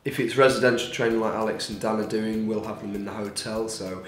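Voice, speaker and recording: A man's voice: fairly low pitch, flat delivery, roomy sound, a little hiss or hum. A man who works as a guide dog mobility instructor, describing the training in an interview. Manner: brisk, coaching.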